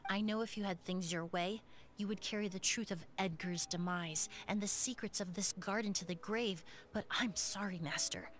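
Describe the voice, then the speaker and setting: An actress voicing a calm, composed young woman perfumer who lays out the case in a video game, over game music. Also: mid-range voice, moderate pace, a little hiss or hum, natural voice.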